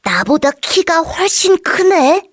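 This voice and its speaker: Little boy, storybook character, very high pitch, childlike, cheerful, curious, dry recording. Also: slow, no room sound, full character voice. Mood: curious, delighted. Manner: childlike, excitable.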